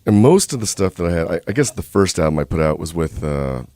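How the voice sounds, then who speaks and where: Deep-voiced male musician guest, 30s, mellow and unhurried, dry studio sound. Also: big pitch swings, no room sound. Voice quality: deep speaking voice. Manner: laid-back, easygoing and unhurried. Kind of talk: casual interview chat. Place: radio studio.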